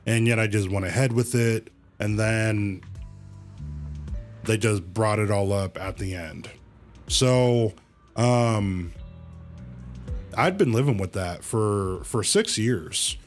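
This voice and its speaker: A male streamer with a fairly deep voice talks at a slow pace over faint music. He rambles casually to his viewers, calling them y'all as he comments on the game. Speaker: livestream host.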